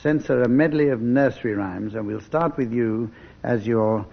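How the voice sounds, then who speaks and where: A man's voice: mid-range voice, moderate pace, vocal fry. An English man hosting a radio panel game as chairman, with a dry, mock-formal delivery. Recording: dull, noisy old radio recording.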